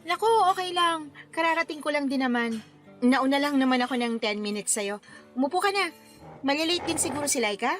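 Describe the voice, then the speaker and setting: A woman's voice: high voice, expressive, a little fast, fairly bright tone. A woman with a Filipino accent acting a friendly, patient wedding planner in a radio drama. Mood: friendly, surprised. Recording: music bed under the voice.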